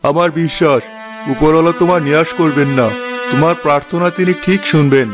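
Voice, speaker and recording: A man playing a kindly king in a fairy-tale cartoon, a gentle and reassuring husband. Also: a little high, fairly quick, music in the background, close to an everyday voice. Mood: tender concern.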